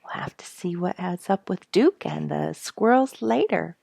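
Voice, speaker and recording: Woman storyteller for children's audio, deep voice with a bit of fry, dry close recording. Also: expressive, a little slow, dark tone, no room sound. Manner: friendly, chatty greeting to young listeners. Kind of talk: reading a chapter book aloud to children.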